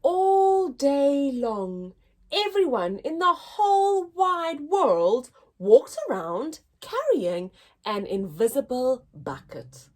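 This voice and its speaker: Slow, animated woman reading to children. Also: sits high. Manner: expressive, deliberate teacher-like delivery addressed to young children. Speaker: story time host reading picture books aloud. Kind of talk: children's storybook read-aloud.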